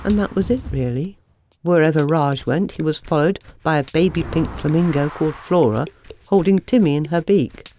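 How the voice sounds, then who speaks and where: A woman narrating a scripted children's bedtime story in an expressive storytelling manner. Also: deep voice, normal speed, a little hiss or hum.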